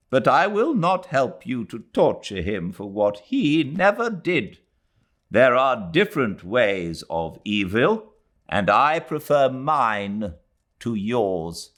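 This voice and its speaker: A man's voice: medium pitch, a bit bright, voice pushed up, heavily acted. A man voicing an irritable, weary old Englishwoman from a novel, disdainful and brooding, with a rambling, emphatic delivery. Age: older.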